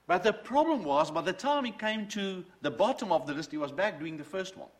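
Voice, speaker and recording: Brief: middle-aged male preacher, Dutch mother tongue, earnest testimony, wide swooping pitch. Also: animated, fairly quick. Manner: earnest, storytelling, quotes from books. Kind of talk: sermon with personal testimony.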